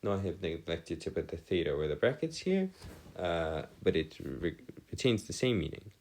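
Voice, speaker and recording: Male tutor, deep register, lively melodic swings, bookish phrasing, hesitant stop-and-start explanatory delivery. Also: normal speed. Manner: formal, bookish wording. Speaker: math tutor. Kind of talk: improvised lesson explanation on trigonometry.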